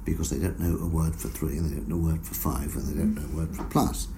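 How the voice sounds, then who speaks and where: A man answering interview questions about his research in a measured, explanatory way, with frequent fillers. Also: a bass voice, flat delivery, mellow, a little hiss or hum. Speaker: researcher guest.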